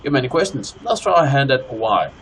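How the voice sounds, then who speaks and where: A man hosting a co-op gaming session, commenting casually and reading in-game text aloud with deliberate phrasing. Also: mid-range voice, big pitch swings, fairly bright tone, low-quality recording. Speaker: host of a co-op let's play. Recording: clear background noise, game ambience.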